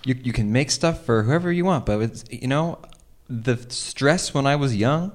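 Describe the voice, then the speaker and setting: A man playing an uneasy partner who is reluctant about commitment, halting and rambling in improvised comedy. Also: mid-range voice, animated, close to an everyday voice. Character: reluctant partner nervously refusing to have kids. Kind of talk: improvised radio theater comedy.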